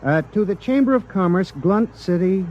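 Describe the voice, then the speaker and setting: An American actor playing a flustered, lovelorn lawyer with a hesitant, distracted manner in a 1950s radio drama. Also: male voice, high-pitched, animated, normal speed, highs cut off, slight background noise.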